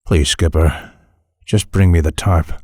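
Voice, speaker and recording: An actor playing a tough, gruff army sergeant in a World War Two adventure drama. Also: male voice, deep voice, steady tone, measured pace, darkish tone, dry recording, studio quality. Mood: grieving. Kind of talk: wartime adventure drama acting.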